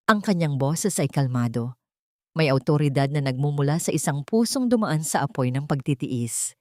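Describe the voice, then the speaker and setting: A woman's voice: mid-range voice, darkish tone, dry recording, studio quality. A woman narrating a sentimental audio drama story from a script in a solemn, emotive way.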